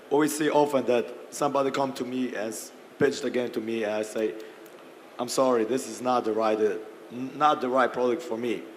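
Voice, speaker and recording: A hoarse man losing his voice, clearing his throat often as he speaks as a panelist. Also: mid-range voice. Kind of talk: panel discussion. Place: conference hall. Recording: reverberant hall with faint noise.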